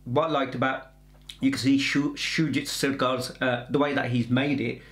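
Middle aged guy reviewing a trailer off the cuff, kinda halting and rambly, roomy echo. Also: medium pitch, level tone, a bit bright. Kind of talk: casual reaction chat. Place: indoor room with bookshelves. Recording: echoey indoor room.

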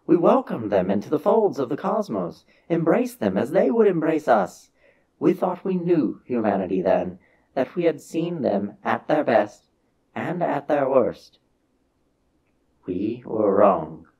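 A man with a husky voice giving a solemn, measured, theatrical reading of a science fiction story as its narrator. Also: mid-range voice, a little mellow, poor audio.

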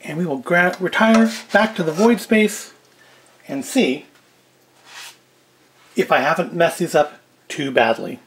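A man's voice: on the high side, a little slow, long pauses. A middle-aged man giving casual cooking and food review commentary with dry, self-deprecating humour. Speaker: video host. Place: indoors at home, kitchen and tabletop set.

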